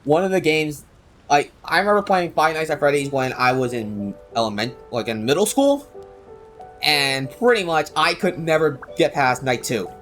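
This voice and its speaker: A man's voice: on the high side, expressive, moderate pace, fairly bright tone. A young man chatting casually and slangily as he streams a game, rambling from thought to thought. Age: young adult. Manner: rambling, slangy, jokey self-deprecation. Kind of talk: casual livestream chat while playing. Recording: game music behind the voice. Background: video game music and dialogue.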